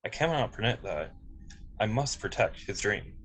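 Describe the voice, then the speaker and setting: A man playing a polite, logical android officer in a science fiction table read, speaking formally and precisely with calm dignity. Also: fairly low pitch, moderate pace, full character voice. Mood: quietly resolute. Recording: clear background noise, room ambience.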